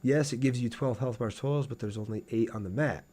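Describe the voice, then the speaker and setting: A man's voice: mid-range voice, brisk, dark tone. A man streaming a video game, chatting casually and conversationally with his viewers as he plays. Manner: conversational, reads viewer comments aloud and answers them. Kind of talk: casual livestream chat while playing a game.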